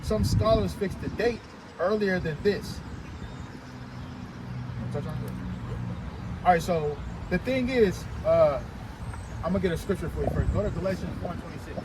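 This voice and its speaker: A man's voice: high-pitched, normal speed, long pauses. A man teaching on a street corner, reading passages from a book and scripture and explaining them. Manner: explanatory, reads passages then comments. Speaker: street teacher of a religious group. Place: outdoors by a busy street. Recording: loud street traffic noise.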